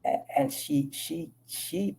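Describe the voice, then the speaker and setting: Man, gravelly, dark-toned, from the Dominican Republic, animated interview storyteller. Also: fairly high pitch, takes it slowly. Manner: animated storytelling, many restarts. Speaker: actor guest. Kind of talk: casual interview talk.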